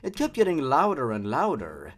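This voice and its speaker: A man's voice: mid-range voice, wildly swooping pitch, normal speed, a little hiss or hum. A man hosting a dramatic reading of a horror story, dropping in sarcastic, exasperated comments of his own. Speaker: host. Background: music.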